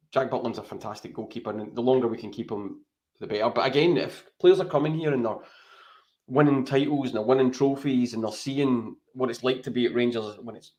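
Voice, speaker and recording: A Scottish man talking football on a podcast in a chatty, rambling way, often tagging his points with "right?". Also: mid-range voice. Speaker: podcast co-host. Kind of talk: casual football chat.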